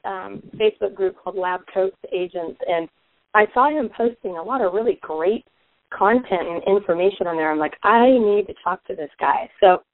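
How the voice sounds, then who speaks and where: A woman hosting a podcast interview, her voice raspy and hoarse from a cold, chatty and upbeat. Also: medium pitch, no room sound. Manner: upbeat, chatty, reads guest bio aloud. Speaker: podcast host. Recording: tinny phone-like line, dry.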